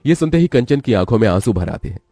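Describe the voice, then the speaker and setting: A man's voice: medium pitch, sing-song, quick pace, mellow, no room sound, studio quality, faint music behind. A man narrating a scripted story as its storyteller.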